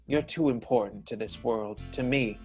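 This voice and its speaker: Male actor as earnest, heartbroken theater manager turned werewolf; mid-range voice, halting delivery, radio soap with faint music. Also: medium pitch, darkish tone, natural voice. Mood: earnest, tender. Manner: halting, hesitant, repeating himself. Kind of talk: improvised radio soap opera acting.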